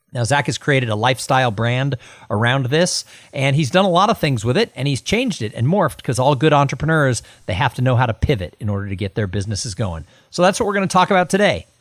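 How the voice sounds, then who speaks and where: A man's voice: mid-range voice, no room sound. Middle-aged male podcast host, enthusiastic and chatty, close dry mic. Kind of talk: podcast interview with sponsor read.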